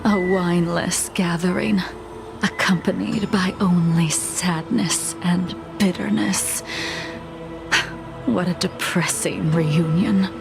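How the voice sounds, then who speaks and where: Fallen swordswoman, video game drama, creaky and breathy, weary, condemning, formal, music behind. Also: on the low side, steady tone. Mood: sorrowful, resigned. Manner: slow, grave, formal delivery with deliberate pauses.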